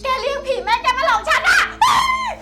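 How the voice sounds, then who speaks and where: A woman's voice: extremely high pitch, lively intonation, a little fast, raised voice. A female performer playing a scared young woman swindler, whiny and defensive, in a skit. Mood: panicky. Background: music.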